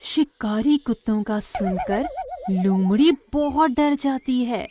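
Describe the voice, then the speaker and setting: A woman's voice: fairly high pitch, lively intonation, takes it slowly, warm tone, a little hiss or hum. A woman narrating a scripted moral story for children.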